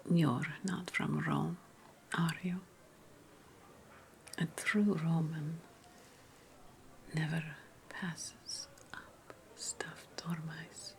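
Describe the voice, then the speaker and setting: A woman's voice: low voice, level tone, very slow, gravelly, pauses often, a little hiss or hum, heavily acted. A woman voicing a haughty, playful ancient Roman noblewoman in a whispered ASMR roleplay, teasing and savoring her words, often repeating phrases. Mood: indulgent, snobbish.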